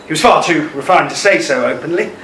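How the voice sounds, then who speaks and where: Guy playing regretful older army husband in a radio play, highish tense voice, hesitant, emotional, echoey room. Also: expressive, fast, a bit bright. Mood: haunted, bitter. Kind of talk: amateur radio play acting. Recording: roomy, distant mic, faint noise.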